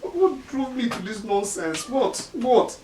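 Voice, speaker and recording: A young man begging his family to take him back. His voice swings widely in pitch, and he keeps repeating his pleas. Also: big pitch swings, a little slow, darkish tone, slight background noise, exaggerated voice, rough recording. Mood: anguished. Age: young adult. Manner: pleading, repeating himself. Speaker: estranged husband and father. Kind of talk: film drama acting.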